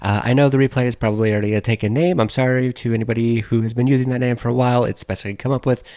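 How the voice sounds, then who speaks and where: A man hosting his own game video, reviewing a game in a loose, rambling, conversational way while hoarse and losing his voice from allergies. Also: mid-range voice, steady tone, rapid-fire, darkish tone, no echo, studio quality. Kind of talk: casual game review voice-over.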